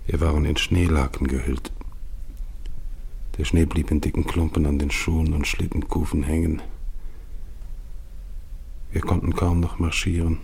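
An actor playing a dying, grieving expedition leader in a German radio play, speaking solemnly with repeated phrases in a gravelly, husky voice. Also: male voice, rumbling low, little pitch movement, normal speed, long pauses, darkish tone, full character voice. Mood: bleak, weary. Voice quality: gravelly, husky, at times whispered. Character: dying, grieving male polar expedition leader recounting his doomed march.

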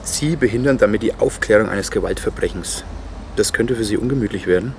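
An actor playing a dogged, sceptical police inspector in a naturalistic radio drama, speaking in a dry, probing, firm way. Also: male voice, lowish voice, a little fast, noisy background, close to an everyday voice. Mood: firm, confronting.

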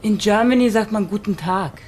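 An actress playing a blunt, casual young hospital volunteer in a radio play, talking colloquially. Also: female voice, medium pitch, normal speed, a little mellow, slight background noise, close to an everyday voice. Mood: teaching.

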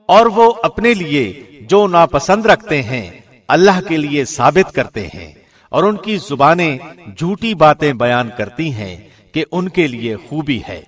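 A man giving a formal, measured and solemn scripted reading of a scripture translation, as a radio presenter would. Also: a little high, big pitch swings.

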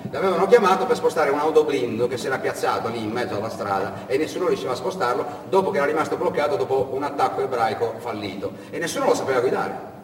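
Man telling a story on stage, dramatic delivery w pauses, mid pitch, really echoey. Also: expressive. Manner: dramatic, with deliberate pauses and swells. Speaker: stage actor and narrator. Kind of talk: theatrical storytelling monologue. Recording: echoey hall, distant mic, faint noise.

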